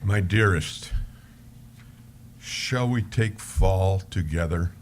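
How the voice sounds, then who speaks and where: A man reading as an elderly husband, tender, measured and wistful, in a dramatic reading of a love letter with some background noise. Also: sits low. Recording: live stage recording with background noise.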